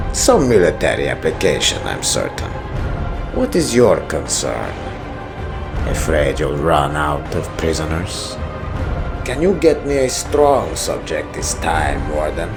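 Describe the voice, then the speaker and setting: A man playing a cold, calculating prison experimenter in a dramatic comic dub, speaking in a measured, insinuating manner. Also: rumbling low, big pitch swings, music in the background, full character voice. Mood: coolly taunting. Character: cold, calculating prison experimenter seeking strong test subjects.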